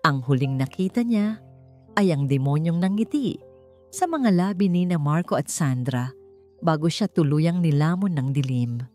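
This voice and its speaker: A woman narrates scripted romantic drama in a fairly low voice. Faint music plays underneath her storytelling. The delivery is that of a dramatic story narrator. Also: lively intonation, moderate pace, dark tone. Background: background music.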